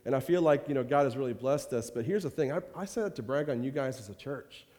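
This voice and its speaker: A man's voice: medium pitch, expressive, fast. A man speaking as a pastor to his congregation, chatty and rambling with many fillers, fighting a cold and coughing now and then. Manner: warm rambling talk with many fillers and repeated "I tell you". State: has a cold, occasional cough. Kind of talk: informal church address. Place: church sanctuary. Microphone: handheld or stage microphone. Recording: reverberant church hall.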